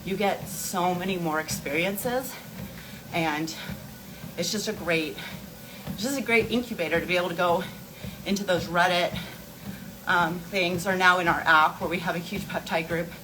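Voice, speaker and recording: A woman's voice: fairly low pitch, normal speed, noisy background. A woman hosting a casual livestream, answering viewer questions in a rambling, informal, explanatory way while walking on an inclined treadmill. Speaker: beauty and wellness livestream host.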